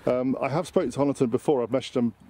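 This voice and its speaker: A man talks quickly in a casual, bantering way, like a host chatting with a guest outdoors. His pitch sits in the middle, and he likes to tease. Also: steady tone, mellow. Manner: bantering, teasing. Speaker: interviewer and golf vlog host. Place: outdoors on a golf course.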